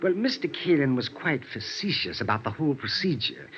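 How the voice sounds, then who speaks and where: A man's voice: mid-range voice, big pitch swings, brisk, a bit bright. An actor playing a small, nervous, eager-to-please male insurance salesman in a 1950s radio drama, rambling and stammering in a prissy, flustered way. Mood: apologetic, anxious. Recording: dull, noisy 1950s radio recording.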